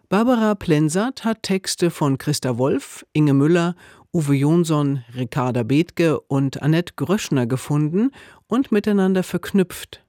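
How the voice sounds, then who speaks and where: A man giving a scripted introduction as a radio presenter, measured, factual and clearly articulated. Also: a little high, a little mellow, no room sound, clean studio sound.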